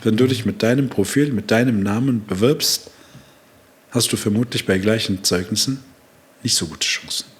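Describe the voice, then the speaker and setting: A man's voice: on the low side, normal speed. A man in his sixties, born in Turkey, a psychology professor explaining at length in an interview, acting out quoted dialogue with frequent fillers.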